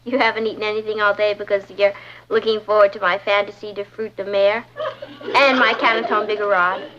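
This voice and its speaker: A young woman playing a melodramatic, scheming, excitable teenage girl, theatrical and emphatic, in a vintage TV sitcom. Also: high voice, normal speed, highs cut off, a little hiss or hum. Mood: evasive.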